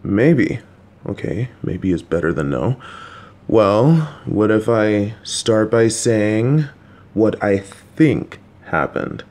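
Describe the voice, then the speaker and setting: A man's voice: lowish voice, expressive, takes it slowly, darkish tone. A man voicing a patient, slightly clueless, supportive middle-aged father who coaxes and reassures his child, with jokey asides. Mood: calm, coaxing. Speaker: voice actor in an audio roleplay. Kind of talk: listener-directed audio roleplay.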